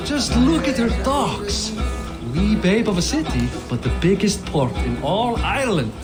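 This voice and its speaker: A proud, middle-aged Viking king for a video game cinematic. His voice sits fairly high and swings freely in pitch, hearty and boastful in delivery, with clear music underneath. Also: big pitch swings, unhurried.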